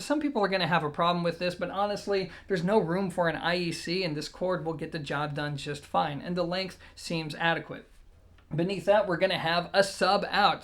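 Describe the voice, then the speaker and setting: A man's voice: sits high, a bit bright. A man giving a conversational, methodical product review, explaining things to viewers point by point as an audio gear reviewer.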